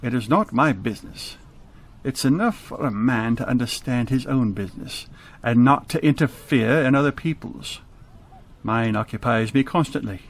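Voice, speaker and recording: A man acts out a miserly old Victorian businessman in a radio drama. The voice sits at a middle pitch, and the delivery is curt, scolding and full of grumpy scorn. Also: normal speed, natural voice. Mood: cold, dismissive.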